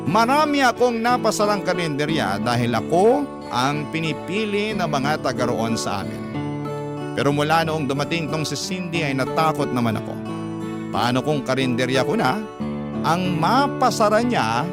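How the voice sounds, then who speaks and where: A Filipino man hosting a radio story program, reading a first-person letter as expressive, aggrieved dramatic narration. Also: on the high side.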